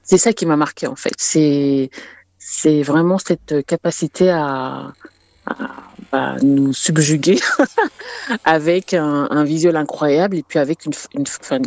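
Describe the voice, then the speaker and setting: A woman's voice: low-pitched, darkish tone. A woman music artist giving conversational interview answers, often searching for her words and repeating herself.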